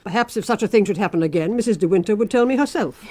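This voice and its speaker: A woman's voice: medium pitch, animated, very fast, darkish tone, close to an everyday voice. A woman playing a cold, formal housekeeper in a radio drama, stiff and icily polite, with an English accent. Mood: coldly polite.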